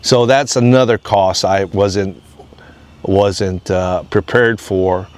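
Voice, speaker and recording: Man, American accent, lowish pitch, casual unscripted vlog talk, plain-spoken, rambling explanations. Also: fairly even intonation, takes it slowly. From Hawaii, United States. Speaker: off-grid homesteading vlogger.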